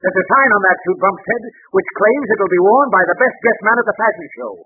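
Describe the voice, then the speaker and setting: Blustering boss, high husky voice. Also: male voice, a little fast. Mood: scornful outrage. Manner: gruff, cutting, emphatic. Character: blustering, sarcastic, exasperated male construction company boss. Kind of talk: 1930s radio situation comedy acting. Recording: muffled old 1930s radio recording.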